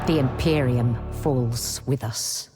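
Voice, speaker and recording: A woman playing a grave Inquisition agent who narrates a grim war in a solemn, measured, portentous manner. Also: very deep voice. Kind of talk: scripted cinematic narration. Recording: loud cinematic music behind. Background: loud music.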